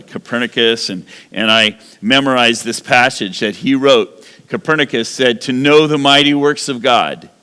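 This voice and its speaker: A man in his sixties giving a rambling, animated sermon-style talk as a guest speaker in a church. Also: medium pitch, long reverb tail. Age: 60s. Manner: animated, rambling, self-correcting.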